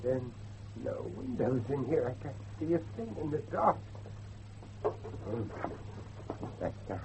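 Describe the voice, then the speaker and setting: An actor playing an anxious, devout middle-aged father searching for his son, pleading and halting in a horror audio drama. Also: on the high side, mellow, slight background noise, full character voice. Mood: fearful, hushed. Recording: dull, muffled lo-fi drama recording.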